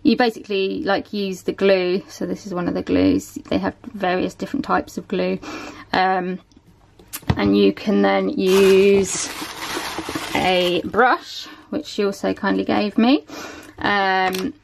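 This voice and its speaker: A woman, chatting casually to camera at home and showing items, with lots of ums and a voice that is going a bit hoarse. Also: mid-range voice, flat delivery, slow pace, background noise. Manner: chatty, many ums, shows items one by one. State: losing her voice a bit. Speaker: vlogger. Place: small room at home, indoors.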